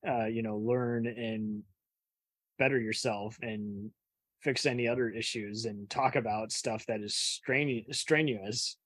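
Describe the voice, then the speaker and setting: A man's voice: mid-range voice, fairly even intonation, unhurried, fairly bright tone, husky, no room sound. A man hosting a casual interview, agreeable and slangy, often chiming in to affirm his guest. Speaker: podcast interviewer and host.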